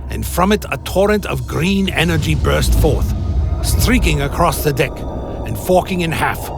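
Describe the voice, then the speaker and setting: Man acting a gravelly cursed dark-fantasy antihero over music. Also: fairly high pitch. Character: Alaric the Damned, cursed blood-bound male servant of a lich. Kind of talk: dramatic first-person radio play narration.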